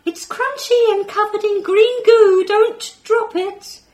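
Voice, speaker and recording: A woman voicing a lost, playful alien from another planet in an excited, sing-song way, inside a story she reads aloud. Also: very high-pitched, slow, voice pushed up. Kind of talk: acting out a voice within a read story.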